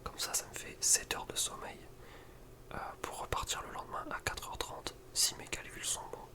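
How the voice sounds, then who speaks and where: A young man speaks entirely in a soft, breathy whisper. He chats informally in slangy, rambling phrases, in the manner of an ASMR video creator talking to viewers. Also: moderate pace. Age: young adult. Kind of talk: whispered ASMR chat about personal routine.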